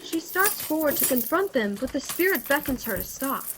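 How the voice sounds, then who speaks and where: A woman's voice: high voice, big pitch swings, moderate pace, noisy background. A woman narrator reading scripted stage directions for a radio play, recorded remotely. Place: remote home recording over video call.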